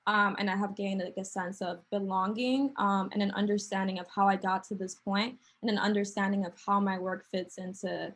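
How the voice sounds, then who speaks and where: A young woman talks reflectively on a video call, leaning on "like" and "um" as she thinks. Her pitch sits in a middle range, and she speaks in a relaxed, casual way. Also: moderate pace, few pauses. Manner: reflective, lots of likes and ums. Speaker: panel artist. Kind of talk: casual panel talk.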